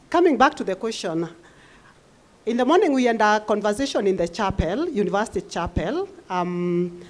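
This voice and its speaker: A middle-aged woman with a Kenyan accent, a university staff member speaking on a panel, calm and measured, mixing in Swahili phrases. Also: medium pitch. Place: university hall forum.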